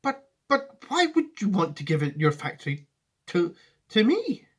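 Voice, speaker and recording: A man's voice: high voice, huge pitch swings, unhurried, slightly rough, pitched above the performer's own voice, exaggerated voice. A man reading a storybook aloud, voicing an earnest, eager little boy. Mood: amazed.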